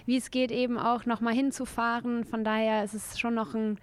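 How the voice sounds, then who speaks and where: Smooth-voiced woman in her thirties. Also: fairly high pitch, level tone, fairly quick. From Belgium. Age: 30s. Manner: easygoing, many fillers, laughs lightly. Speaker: guest, footballer. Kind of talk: relaxed interview answers.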